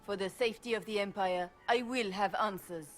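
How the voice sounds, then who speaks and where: An actress playing an imperious, stern Empress in a video game, regal and authoritative. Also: female voice, mid-range voice, brisk, faint music behind, close to an everyday voice.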